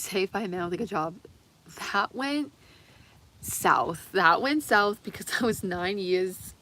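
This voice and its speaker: A woman in her thirties rambling excitedly in a casual, confessional livestream style, giggly and sweary, her voice a little strained from long talking. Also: mid-range voice. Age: 30s.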